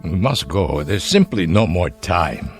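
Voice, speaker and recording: An actor playing a frail, stubborn but gentle husband in his seventies in a radio drama, speaking in a halting, courteous way. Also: sits low, sing-song, faint music behind, heavily acted. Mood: weary, reassuring. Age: 70s.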